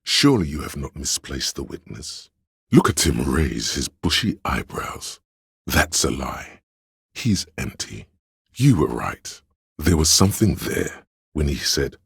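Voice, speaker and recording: An actor playing a flamboyant, sly inner voice of drama in a video game, speaking like a courtly servant with a theatrical, arch manner and a husky, gravelly delivery. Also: male voice, very deep voice, very animated, unhurried, a bit bright, no echo, studio quality, heavily acted. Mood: shrewd, watchful.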